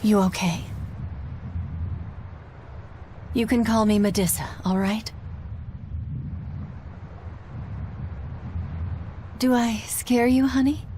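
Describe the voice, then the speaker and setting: A woman's voice: fairly high pitch, moderate pace, dark tone, slight background noise, lightly acted. A woman playing a proud, wary and protective mother in a dramatic video game. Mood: tender. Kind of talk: English-dubbed video game acting.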